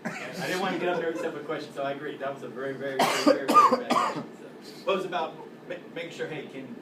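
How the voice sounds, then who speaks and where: A man's voice: on the high side, lively intonation, rapid-fire, a crowd in the background. A man in his forties giving an informal, rambling, self-deprecating speech at his military retirement ceremony. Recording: echoey hall, heard from a distance, loud noise.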